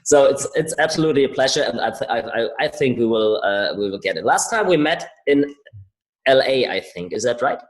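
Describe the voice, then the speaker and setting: A man's voice: mid-range voice, lively intonation, fairly quick, roomy sound, rough recording. A man with a German accent, a non-native English speaker hosting an interview, hesitant with frequent restarts. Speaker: podcast host.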